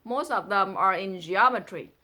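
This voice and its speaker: A woman's voice: medium pitch, normal speed, bright, forceful tone. A woman with a Vietnamese accent, a native Vietnamese speaker, casually reading a poem with playful, chatty asides. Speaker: presenter reading a translated poem.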